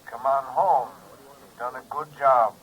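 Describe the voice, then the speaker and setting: An actor playing a polite, fussy, cold-hearted wealthy client in a 1950s radio drama, speaking with formal, unruffled courtesy. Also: male voice, mid-range voice, unhurried, slightly rough, muffled recording. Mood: cool, indifferent. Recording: vintage radio recording of telephone voice.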